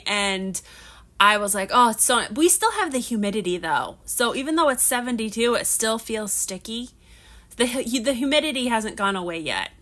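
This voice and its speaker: Woman, thirties, livestream host, nasal, bright tone, chatty and rambling. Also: a little high. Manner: rambling, chatty, restarts often while working on crafts. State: nasal from allergies. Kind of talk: casual livestream chat.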